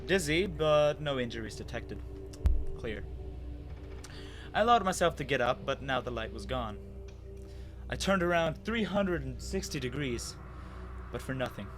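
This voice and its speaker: A man voicing a confused, anxious amnesiac wanderer in a dramatic first-person story reading with expressive pauses. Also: mid-range voice. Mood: recovering, alert. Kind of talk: dramatic reading of fan fiction.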